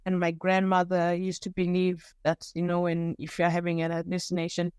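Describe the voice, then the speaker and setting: Smooth-voiced woman from Zimbabwe. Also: lowish voice, dry recording, clean studio sound. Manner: candid, animated storytelling with pauses and restarts. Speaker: podcast guest. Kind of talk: personal storytelling interview.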